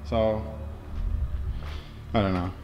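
A young man vlogging as he walks through an empty basement, talking in a rambling, nervous, hushed way, recorded on a handheld phone. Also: on the low side, steady tone, very slow, muffled recording, slight background noise. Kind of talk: casual handheld walkthrough vlog. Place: empty concrete basement, large indoor space. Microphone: handheld phone camera. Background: faint music.

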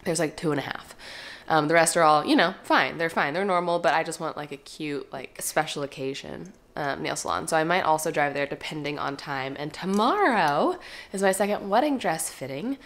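Lifestyle vlogger, twenties, stuffy nose, slight rasp, lower pitch, chatty to camera at home. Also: female voice, normal speed. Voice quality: slightly raspy. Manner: chatty and upbeat, trailing into soft asides. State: stuffy, congested. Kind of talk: casual chat to camera. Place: living room and kitchen at home. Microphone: camera at close range.

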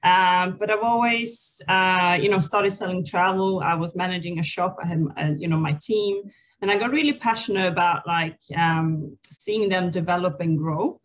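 A woman's voice: fairly low pitch, normal speed, rough recording. A woman in her forties from Sweden, a native Swedish speaker, telling her story conversationally and hesitantly as an interview guest. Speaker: interview guest, people and culture leader. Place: indoors, on a video call.

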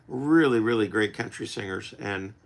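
A middle-aged man in his forties chatting casually on a home livestream, his voice still a little rough after losing it, rambling easily with lots of fillers. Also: fairly low pitch, normal speed. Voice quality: recovering voice, slightly rough. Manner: laid-back, rambling with many fillers. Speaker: country singer hosting a livestream. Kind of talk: casual livestream chat with viewers. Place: home music room, indoors. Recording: loud acoustic guitar in the mix.

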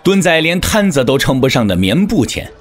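A man narrating an audio drama as scripted storytelling, in a measured, theatrical manner. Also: on the high side, big pitch swings, faint music behind.

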